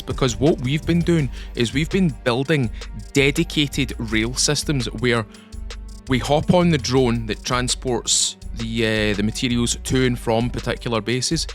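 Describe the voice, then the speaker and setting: A man's voice: medium pitch, a bit bright. Enthusiastic Scottish gaming YouTuber, man. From Scotland. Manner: rambling, enthusiastic, repeats himself. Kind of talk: casual game update commentary.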